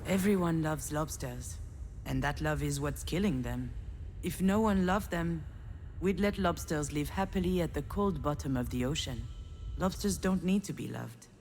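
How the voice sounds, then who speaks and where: Low-voiced cynical, melancholic woman. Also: normal speed, darkish tone, slight background noise. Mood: resigned, intimate. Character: failed woman screenwriter, cynical, melancholic, self-deprecating loner. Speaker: narrator protagonist of a visual novel. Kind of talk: introspective scripted monologue.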